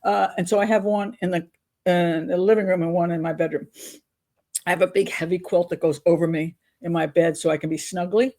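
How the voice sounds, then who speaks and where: A woman's voice: on the low side, steady tone, a little fast, mellow. An older woman hosting a casual advice vlog, talking in a chatty, candid, rambling and self-deprecating way. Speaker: advice show host on YouTube.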